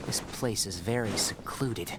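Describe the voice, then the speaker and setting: A man voicing a calm, scholarly young researcher in a video game, measured and matter-of-fact as he explains. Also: on the low side, background noise, close to an everyday voice. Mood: calm, analytical.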